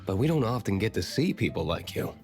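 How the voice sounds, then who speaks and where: A man playing a stern, cynical young tavern owner in a video game, speaking in a measured, blunt way. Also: on the low side, big pitch swings, loud music behind the voice. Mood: cool, appraising. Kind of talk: scripted game voice acting.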